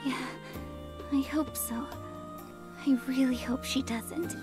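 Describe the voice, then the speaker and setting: A woman voicing a timid, gentle, earnest teenage girl in a visual novel, hesitant and soft-spoken. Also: sits high, steady tone, slow, music in the background.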